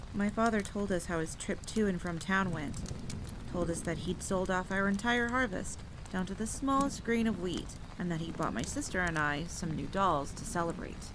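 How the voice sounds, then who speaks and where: A woman playing a lowish-voiced campfire storyteller. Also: noisy background, natural voice. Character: vampire hunter woman telling a painful childhood story by campfire. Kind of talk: scripted first-person storytelling, audio roleplay. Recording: clear rain and thunder ambience behind voice. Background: rain and rolling thunder.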